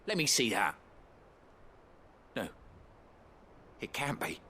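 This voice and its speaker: A man's voice: mid-range voice, very animated, takes it slowly, sharp tone, a little hiss or hum, full character voice. An actor playing a coarse, peevish, bigoted townsman in a video game, with a blustering British delivery. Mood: shaken. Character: foul-mouthed, bigoted townsman, proud and peevish.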